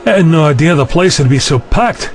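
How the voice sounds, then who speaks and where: A man's voice: a little high, lively intonation, a little fast, over music. A young man playing a worried, practical sidekick in an audio drama.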